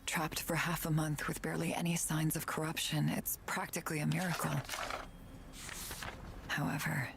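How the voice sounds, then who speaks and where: Low, creaky, grave woman doctor. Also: little pitch movement, normal speed, a bit bright, a little hiss or hum. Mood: grave, clinical. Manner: clinical, serious. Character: grave, clinical female doctor. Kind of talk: scripted game drama dialogue.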